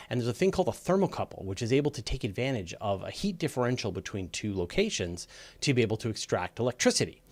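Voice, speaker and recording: Low-voiced man hosting a casual science Q&A. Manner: conversational, informal, explanatory. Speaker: host of a live space and astronomy Q&A show. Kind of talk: casual live explainer talk answering questions.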